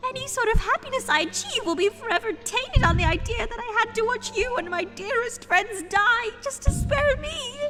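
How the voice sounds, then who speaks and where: Woman playing a high-voiced, refined, anguished pony. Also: expressive, moderate pace, hardly any pauses, music in the background. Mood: anguished, earnest. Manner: earnest, dramatic, formal phrasing. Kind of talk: dramatised audio fan fiction reading.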